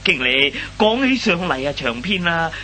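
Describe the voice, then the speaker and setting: A man's voice: a little high, big pitch swings, normal speed, crowd noise. A man playing a nervous, fawning office clerk who stammers in Hong Kong Cantonese, in old radio comedy style. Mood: flustered, placating. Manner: stammering, hesitant, deferential. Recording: old radio recording, faint hiss.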